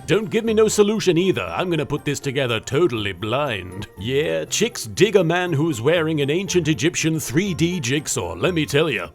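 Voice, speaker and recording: Casting: male actor as arrogant, theatrical anime pharaoh, boastful comic host, higher-set voice with very animated intonation. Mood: smug, flirtatious.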